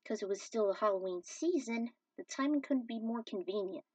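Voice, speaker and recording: A woman's voice: on the high side, brisk, a little mellow, husky, no echo. A woman narrating and commenting on her own fan fiction as an amateur storyteller. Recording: dull, slightly distant microphone.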